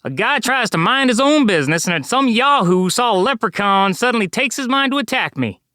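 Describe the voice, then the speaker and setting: A man's voice: a little high, very animated, takes it slowly, a bit bright, clear, resonant tone, dry recording, clean studio sound, heavily acted. Man voicing a squawky indignant leprechaun. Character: grumpy little male leprechaun, fake Texas drawl.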